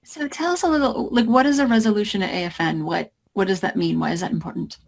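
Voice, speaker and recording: A woman moderating a panel, asking the guests questions in a measured, inquisitive way. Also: mid-range voice, creaky.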